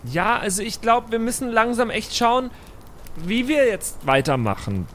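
A man's voice: extremely high pitch, very animated, unhurried, fairly bright tone, slight background noise. A man speaking casually in character as a player in an improvised tabletop game.

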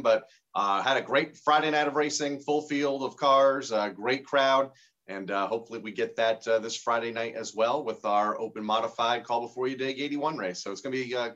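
A man's voice: medium pitch, fairly bright tone. Easygoing male co-host on a dull line. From Connecticut. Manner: measured, easygoing, many fillers. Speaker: co-host, track promoter. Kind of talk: casual sports talk.

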